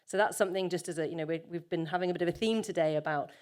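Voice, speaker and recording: A woman's voice: on the low side, very fast, a little mellow. Woman giving a conference talk, filler-heavy. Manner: conversational, many filler ums, self-correcting. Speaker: conference panel speaker, farmer.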